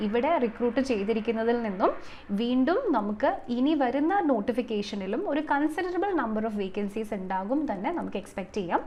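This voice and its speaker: A woman's voice: a little high, a little mellow, slight background noise. A woman giving an explanatory exam coaching lesson, mixing in many English terms and numbers. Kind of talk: explanatory video lesson, semi-formal.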